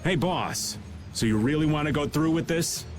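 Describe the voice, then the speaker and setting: A man's voice: fairly high pitch, normal speed, music in the background, close to an everyday voice. A man voicing a casual, slangy middle-aged rogue in a video game cutscene. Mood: reproachful.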